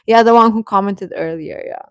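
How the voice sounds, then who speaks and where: A woman chatting casually as host of a live stream, rambling and halting, with a sarcastic streak. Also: mid-range voice, smooth, no echo. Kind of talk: casual live-stream chat about pop fandom.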